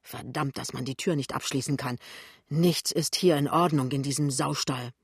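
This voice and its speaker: A woman's voice: rumbling low, normal speed, husky, no room sound, clean studio sound, exaggerated voice. A woman voicing a cheeky, exasperated young husband in an audiobook novel, with blunt colloquial delivery. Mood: grumbling.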